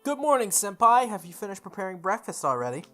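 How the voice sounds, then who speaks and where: A woman's voice: mid-range voice, big pitch swings, fast, fairly bright tone, voice pushed up. A woman voicing a polite, cheerful schoolgirl in a visual novel read aloud, with music behind.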